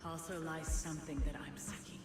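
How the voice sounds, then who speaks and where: A woman's voice: low-pitched, level tone, brisk, natural voice. A woman playing a resolute, grave teacher devoted to her pupils in a dramatic game monologue. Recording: echoing voice with music behind.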